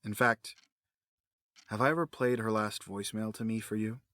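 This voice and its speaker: A man playing a cold, grieving villain in an audio drama, menacing, sardonic and quick to justify himself. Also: lowish voice, fairly even intonation, a bit bright, no echo, lightly acted. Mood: bitter, menacing. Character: cold, obsessed male villain, a grieving widower running experiments.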